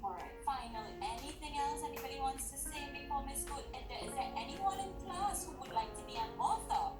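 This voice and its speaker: A woman teacher moderating a class, friendly and brisk as she calls on children and thanks the guest. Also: sits high, expressive. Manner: brisk, friendly, running the questions. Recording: far off in an echoey room, loud music behind.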